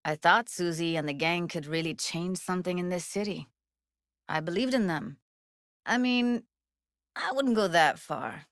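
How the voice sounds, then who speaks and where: A woman's voice: lowish voice, a little slow, dry recording, clean studio sound. An actress voicing a tough, guarded young woman techie in a cyberpunk video game, speaking in casual, clipped slang with a wry edge. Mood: earnest, guarded. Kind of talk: naturalistic video game dialogue, isolated voice lines.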